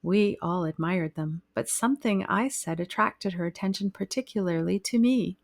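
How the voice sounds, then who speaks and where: A woman's voice: mid-range voice, moderate pace, no echo, clean studio sound, lightly acted. Woman reading a weary, frightened young wife. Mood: calm. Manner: wearily calm, pleading.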